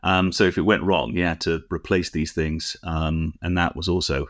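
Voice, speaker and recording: A man's voice: low-pitched, fairly even intonation, normal speed, no echo, studio quality. A man co-hosting a podcast, explaining technical things conversationally in a nerdy, precise, digressive way. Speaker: podcast co-host.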